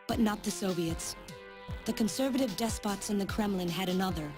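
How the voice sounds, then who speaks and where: An actress plays a woman scientist in a dramatic video game, grave and full of sorrow as she lays out a dark history. Her voice sits at an ordinary womanly pitch, with prominent music playing underneath. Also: natural voice.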